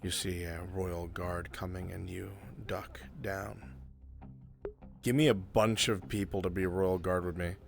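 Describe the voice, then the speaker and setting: A man's voice: sits low, fairly even intonation, a little slow, vocal fry, faint music behind. A man acting as game master, narrating a live improvised roleplay game and giving players short directions. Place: voice chat in a virtual reality game.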